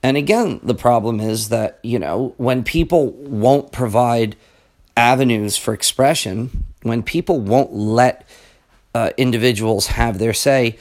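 Podcast host, male, a bit hoarse today, mid-pitched, earnest and chatty, lots of fillers. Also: measured pace. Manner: conversational, frequent ums and asides, earnest. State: raspier voice than usual. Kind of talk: casual spoken monologue on books and history.

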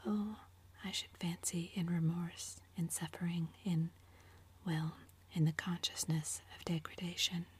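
A woman whispering the lines of a serious, troubled male painter in a storybook reading, in a low, flat hush. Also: a little slow, slightly rough. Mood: grave.

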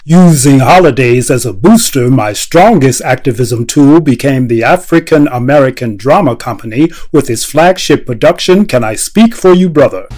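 A man's voice: medium pitch, animated, moderate pace, hardly any pauses, a little mellow. An older man telling the story of his own career in scripted narration as a storyteller.

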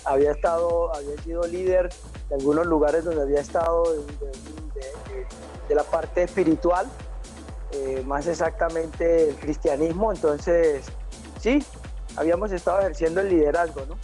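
A man's voice: a little high, steady tone, normal speed, mellow, soft background music. A forty-year-old man from Colombia with a Colombian accent, giving casual answers as an interview guest. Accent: Colombian Spanish. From Santander, Colombia.

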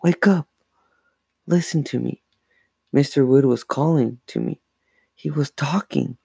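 A man's voice: sits high, normal speed, creaky, warm tone, slightly rough, no room sound, studio quality, pitched above the performer's own voice, full character voice. Man playing a scared, breathless young girl. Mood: panicked, tearful. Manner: stammering, breathless, pleading. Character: frightened young girl, anxious twin sister.

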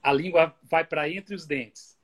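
A man's voice: a little high, unhurried, slightly rough. A man from Bahia whose accent has picked up a Pernambuco tone, explaining at length in a casual conversation, heard over a video call. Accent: Bahian Portuguese mixed with Pernambuco. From Bahia, Brazil. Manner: explanatory, thinks aloud with restarts. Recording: video call audio with lag.

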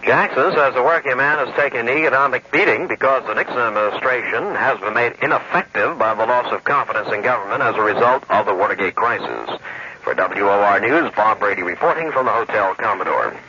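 A man's voice: mid-range voice, brisk, sharp tone. A man with an American accent giving a scripted news report as a radio reporter. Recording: noisy, dull vintage radio field report.

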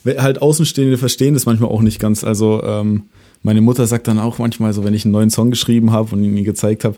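A young man, a singer-songwriter, talking in a relaxed way with many fillers and a voice that trails off softly. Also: fairly low pitch, fast. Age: young adult. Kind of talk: casual interview chat.

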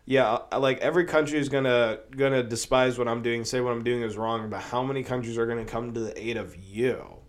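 A man hosts his own podcast while sick with a cold, sniffling and coughing now and then. He rambles and swears, with lots of fillers. His voice sits at an ordinary middle pitch. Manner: rambling, profane, with many fillers. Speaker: podcast host. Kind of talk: casual solo podcast commentary.

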